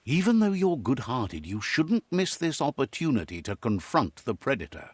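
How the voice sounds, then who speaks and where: A man narrating a video game as a calm storyteller who relays what the creatures say. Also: mid-range voice, normal speed, slightly rough, no room sound. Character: grown male storybook narrator, calm and wise.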